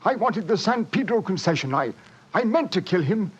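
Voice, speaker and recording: An actor playing a drugged, desperate murderer in a 1940s radio drama, halting, stammering and groggy. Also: male voice, high-pitched, big pitch swings, breathy edge, full character voice. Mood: desperate pleading. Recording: muffled 1940s radio recording.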